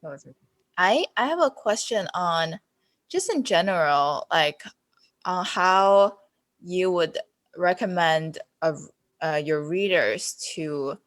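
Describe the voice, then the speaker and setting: A woman's voice: lowish voice, expressive, slow, fairly bright tone, no echo. A woman chatting casually as a podcast panelist, with hesitant, loose phrasing. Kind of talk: casual chat.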